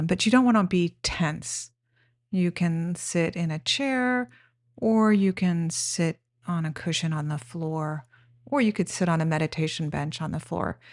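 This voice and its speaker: Slow, low-pitched woman guiding meditation. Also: dry recording, clean studio sound. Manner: gentle, unhurried, plain-spoken instructions with pauses. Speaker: meditation guide. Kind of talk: guided meditation instruction.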